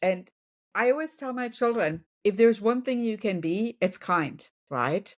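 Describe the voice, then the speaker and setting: A woman's voice: mid-range voice, expressive, unhurried. A middle-aged woman from Germany with a German accent, a native German speaker, giving motivational advice in a conversational interview and often checking in with the listener. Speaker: business and wellness coach, podcast guest.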